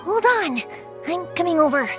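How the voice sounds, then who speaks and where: A woman playing a spirited, kind, protective young woman in an anime-style video game, upbeat, expressive and reassuring. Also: very high voice, normal speed, music in the background.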